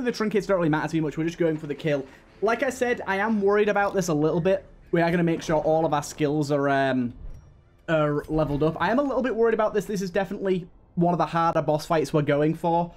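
A man hosting a gaming channel, giving casual let's play commentary. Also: fairly high pitch.

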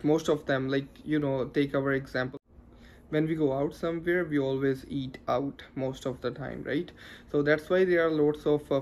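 A man's voice: on the high side, darkish tone, a little hiss or hum. Young man with a Punjabi accent. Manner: earnest, chatty, many uh fillers. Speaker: vlogger. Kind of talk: casual talking-head vlog. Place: living room in daylight. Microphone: handheld lapel mic close to mouth.

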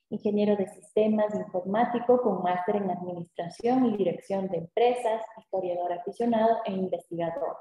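A woman's voice: mid-range voice, warm tone. Woman moderating, very echoey room. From Ecuador. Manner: formal, polished delivery. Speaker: webinar moderator. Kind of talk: formal scripted introduction. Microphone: video call webcam.

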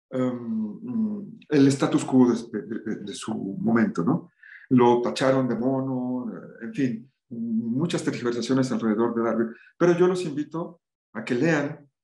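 A man's voice: medium pitch, darkish tone, reverberant. A middle-aged man giving a lecture over a video call, reading passages aloud and adding his own remarks with occasional restarts. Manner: reads aloud with asides and restarts.

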